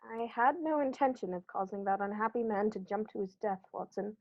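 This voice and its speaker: A woman acting as a commanding, righteous detective in a vintage-style radio drama. The delivery is formal and accusing, at a middle pitch, on slightly dull lo-fi audio. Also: a little fast, lightly acted. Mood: grave. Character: stern, commanding male detective, calm and righteous.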